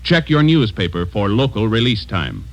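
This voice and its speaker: A man's voice: lowish voice, very animated, moderate pace. A man announcing a programme in formal 1940s radio style.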